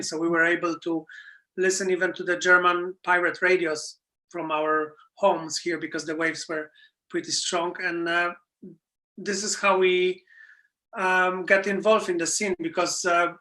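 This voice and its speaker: A man with a Polish accent, a DJ guest, talking in halting, searching English in a casual interview. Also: sits high, flat delivery, bright, forceful tone, low-quality recording. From Poland.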